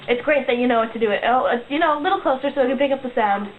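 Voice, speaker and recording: A woman's voice: high voice, racing, background noise, lightly acted. A woman playing a polite, flustered news interviewer in an amateur skit, with a hesitant, placating manner. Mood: awkward, placating. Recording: muffled phone-like sound, crowd chatter.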